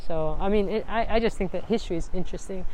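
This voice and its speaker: A woman's voice: mid-range voice, fast, mellow, a little hiss or hum, poor audio. A middle-aged woman from Hawaii, a college dean eagerly telling history in a casual interview and often checking in with "right?".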